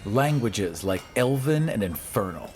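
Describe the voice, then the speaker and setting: A man's voice: fairly low pitch, very animated, measured pace, a little mellow. Man reading scripted narration over music. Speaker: podcast announcer. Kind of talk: scripted advert and recap narration. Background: prominent music.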